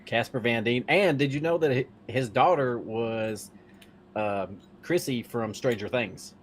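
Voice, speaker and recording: A man's voice: mid-range voice, unhurried, a little hiss or hum. A man talking casually as a podcast panelist.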